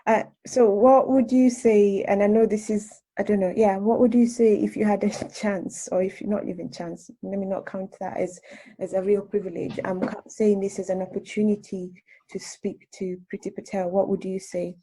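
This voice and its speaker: A woman's voice: mid-range voice, steady tone, normal speed, mellow, slightly rough, low-quality recording. A woman interviewing on radio, unscripted and tentative, rephrasing her questions as she goes.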